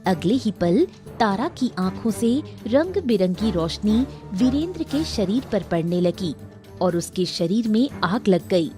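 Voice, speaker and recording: A woman's voice: medium pitch, lively intonation, unhurried, over music. A woman narrator reading a scripted story.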